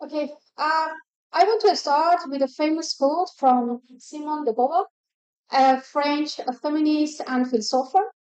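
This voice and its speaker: A woman from Afghanistan giving a measured conference presentation with frequent hesitations. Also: sits high, a little mellow, highs cut off. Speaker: guest speaker. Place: event stage.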